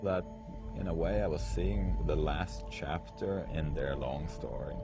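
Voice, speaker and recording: A man's voice: very deep voice, a little slow, over music. A man from Norway, a photographer talking thoughtfully in a documentary interview, sometimes restarting his sentences.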